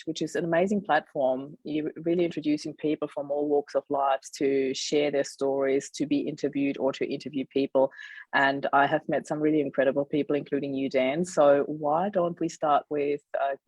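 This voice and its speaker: Podcast host, woman, croaky from illness, encouraging, dry close sound. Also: deep voice, no echo, poor audio. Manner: warm, affirming, frequent murmurs of agreement. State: croaky voice from a cold. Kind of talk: interview.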